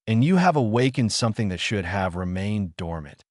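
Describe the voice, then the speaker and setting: A man's voice: fairly low pitch, expressive, moderate pace, dry recording, clean studio sound, natural voice. A man voicing a solemn, portentous godlike alien being who gives ominous warnings in a sci-fi audio drama.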